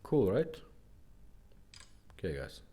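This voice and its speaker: Low-voiced man, non-native English, lively intonation. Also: slow, slight background noise. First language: not English. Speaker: presenter demonstrating restored car radios. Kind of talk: casual product demonstration.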